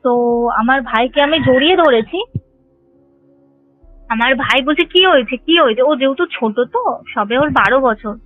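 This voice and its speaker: A woman's voice: high voice, lively intonation, moderate pace, pauses often, no echo. A woman caller telling of a haunting over the phone, earnest and scared, rambling as she recalls. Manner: fearful, earnest, rambling retelling. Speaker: caller telling a ghost experience. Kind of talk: phone-in storytelling. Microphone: phone call. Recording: tinny phone line with faint music.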